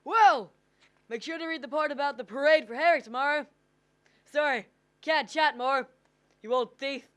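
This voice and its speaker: A woman's voice: sits high, normal speed, pauses often, a bit bright, no room sound. A young woman acting a forgetful, flustered old uncle in a radio drama, stammering and exclaiming. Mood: jovial, teasing. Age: young adult. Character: forgetful, flustered old Uncle Billy, male bank partner.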